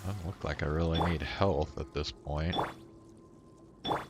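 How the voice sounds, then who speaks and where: Low, slow-talking man grumbling over a game. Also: fairly even intonation. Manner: grumbling, mildly exasperated, swearing freely. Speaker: streamer. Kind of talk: casual gameplay commentary. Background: video game music.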